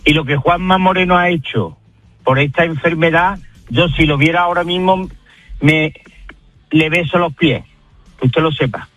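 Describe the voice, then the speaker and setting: Older Spanish man, earnest and highish. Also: normal speed, long pauses, a bit bright, dry recording, soft background music. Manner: earnest, emphatic. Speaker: member of the public on a phone call.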